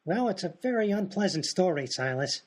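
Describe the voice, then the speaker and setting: A man's voice: high voice, big pitch swings, brisk. A man playing a dim-witted, food-obsessed sidekick in a radio comedy, with folksy, ungrammatical, matter-of-fact speech. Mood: dim, single-minded.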